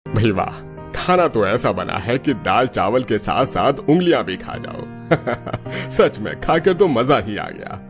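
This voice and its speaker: A man's voice: medium pitch, very animated, slow pace. An actor playing an appreciative man of an Indian household in a cartoon story. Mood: delighted, praising. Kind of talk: Hindi cartoon story dialogue. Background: music.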